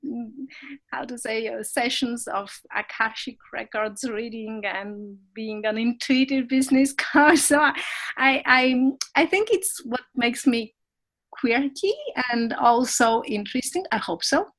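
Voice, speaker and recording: Animated woman, non-native English. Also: fairly high pitch, unhurried. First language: not English. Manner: animated and self-laughing, searching for words. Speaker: podcast guest. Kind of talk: casual conversation.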